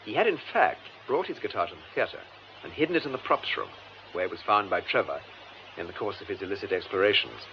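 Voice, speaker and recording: Composed English detective superintendent, radio drama. Formal, methodical, varied intonation, slightly noisy recording. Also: male voice, fairly low pitch, lively intonation. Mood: measured, explanatory. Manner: measured, formal, precise delivery. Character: composed, methodical male police superintendent explaining a case. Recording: muffled lo-fi radio drama, faint noise.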